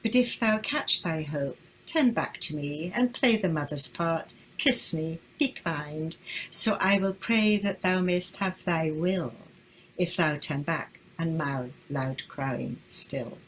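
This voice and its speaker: A woman reads the close of a sonnet in an unhurried, measured way. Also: low voice, a little hiss or hum, low-quality recording. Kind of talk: sonnet recitation.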